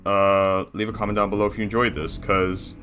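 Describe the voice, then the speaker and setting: Man voicing a bright, low, bossy cartoon bear. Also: little pitch movement, fairly quick, soft background music, close to an everyday voice. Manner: bossy, self-satisfied, rambling. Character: boastful, bossy, threatening cartoon bear boss. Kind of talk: improvised character reaction banter.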